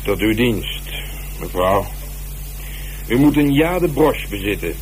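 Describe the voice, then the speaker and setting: An actor playing a methodical police detective in a radio drama, talking in a measured, colloquial, dryly ironic way. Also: male voice, lowish voice, expressive. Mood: coolly insistent. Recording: noisy radio play recording, heavy background noise.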